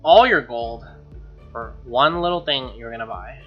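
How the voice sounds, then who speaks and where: A man's voice: medium pitch, a little slow. A young man doing casual, slangy game commentary and reading the narration aloud, often sounding exasperated. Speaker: gamer doing a playthrough. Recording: dull lo-fi mic, music underneath. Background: game music.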